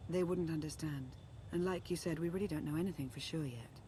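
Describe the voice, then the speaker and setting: An actress playing a calm, watchful adviser in a video game, speaking in a measured, composed way. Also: female voice, deep voice, slight background noise, close to an everyday voice. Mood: guarded, supportive. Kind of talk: video game dialogue acting.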